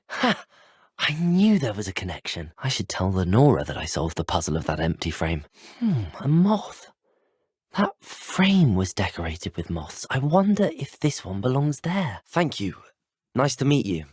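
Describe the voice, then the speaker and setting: A man's voice: medium pitch, huge pitch swings, normal speed, darkish tone, slightly rough, dry recording, studio quality. A young man with an English accent voicing a polite, eager student wizard in scripted video game dialogue. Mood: earnest, curious. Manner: polite, well-spoken, earnest.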